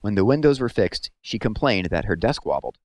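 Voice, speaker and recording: Low-voiced man reading a novel aloud. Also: big pitch swings, fairly quick, darkish tone, dry recording. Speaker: read-aloud narrator. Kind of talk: scripted storybook narration.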